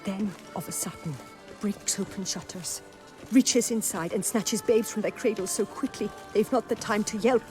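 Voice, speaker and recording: A woman's voice: medium pitch, normal speed, over music, natural voice. An actress playing an anxious young noblewoman in a fantasy video game, speaking in a formal, florid, theatrical manner. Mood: grave, fearful. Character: anxious, courtly young noblewoman pleading for her fiancé. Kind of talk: video game character acting.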